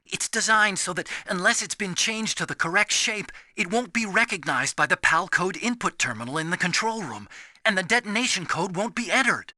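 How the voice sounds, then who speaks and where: A nerdy young American engineer in a video game. His voice is high and very bright, swinging widely in pitch as he explains things with earnest, anxious emphasis. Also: animated, normal speed, no echo, clean studio sound, heavily acted. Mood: urgent instructing. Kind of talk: dramatic video game voice acting.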